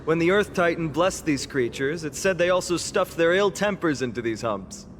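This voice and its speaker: An actor playing a kindly, upbeat young hero in a video game, explaining things in a friendly, reassuring way. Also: male voice, a little high, expressive, moderate pace, background noise, lightly acted. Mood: friendly, encouraging. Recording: music behind the voice. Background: music.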